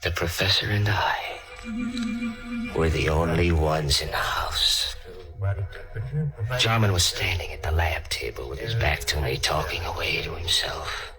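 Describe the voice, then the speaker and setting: A man's voice: extremely low pitch, unhurried, long pauses. An actor playing a cold, greedy killer who narrates his crime in a menacing 1940s radio drama style. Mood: grim narration. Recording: old radio drama with music underneath.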